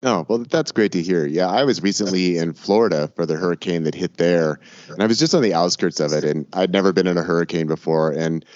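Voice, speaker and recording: A man hosting a podcast, congested from a cold, talking in a relaxed, rambling way with fillers. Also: sits low, brisk, no echo, dull audio. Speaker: podcast host. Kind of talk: casual podcast talk.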